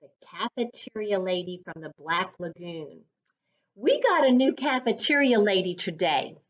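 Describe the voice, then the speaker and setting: A woman reading a children's story aloud as a reading coach, with a deliberate, instructive, teacher-like delivery. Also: mid-range voice, big pitch swings. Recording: dull lo-fi sound.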